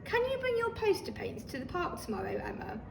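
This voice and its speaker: Woman voicing an eager little storybook girl, high and lively. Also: fairly quick, gravelly, exaggerated voice. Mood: excited. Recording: roomy, distant microphone, faint noise.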